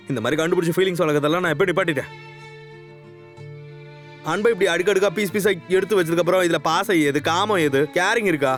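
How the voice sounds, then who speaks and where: A man acts out a nervous young man in love with a fairly high voice. He rattles along quickly in short-film dialogue, halting, rambling and defending his pride. Faint music plays behind him. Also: fairly bright tone. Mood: agitated. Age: young adult. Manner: halting, rambling, self-justifying. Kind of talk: conversational Tamil short-film acting.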